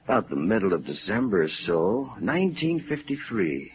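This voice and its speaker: A man's voice: fairly low pitch, sing-song, moderate pace. A man acting a gossipy yet guarded American apartment manager in a 1950s radio drama, wisecracking in everyday slang. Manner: colloquial, wisecracking. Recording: muffled vintage radio recording.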